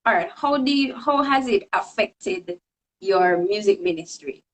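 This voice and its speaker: Female host, mid pitch, measured and searching as she asks questions. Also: animated, low-quality recording. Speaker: host interviewer. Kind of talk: live stream interview.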